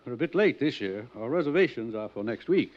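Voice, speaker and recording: An actor playing a wealthy, courteous mansion owner in a 1950s radio drama, speaking smoothly and guardedly. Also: male voice, mid-range voice, animated, brisk, darkish tone, close to an everyday voice. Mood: polite. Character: wealthy polished mansion owner, male, middle-aged. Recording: dull old radio transcription.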